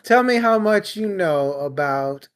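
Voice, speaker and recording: A man's voice: high voice, expressive, a little slow, smooth. A man co-hosting a casual book chat livestream with dry, teasing humor. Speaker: book club podcast co-host.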